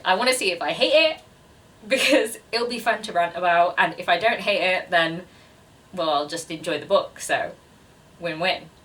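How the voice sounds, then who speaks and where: Female vlogger, twenties, lowish pitch but bright tone, chatty and self-deprecating, recorded a little away from the mic. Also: far from the mic. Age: 20s. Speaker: book vlogger. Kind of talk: casual chat to camera.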